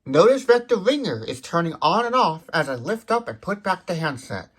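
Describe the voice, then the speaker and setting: A man's voice: a little high, sing-song, normal speed, noisy background. A man hosting a step-by-step product demonstration, explaining as he goes and correcting himself while thinking aloud. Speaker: host of a phone gadget demonstration channel.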